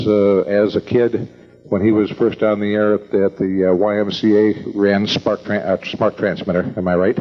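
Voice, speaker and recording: An older American man hosting an evening meeting, introducing speakers informally in a halting, rambling, folksy way. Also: lowish voice. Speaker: event host introducing speakers. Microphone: event microphone. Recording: dull lo-fi event recording.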